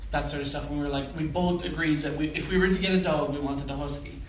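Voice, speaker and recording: Chatty man, higher voice, echoey room. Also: fairly even intonation, fast talker, a little hiss or hum. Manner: rambling, full of fillers and restarts. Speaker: podcast co-host. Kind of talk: casual chat. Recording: echoey room, mic at a distance.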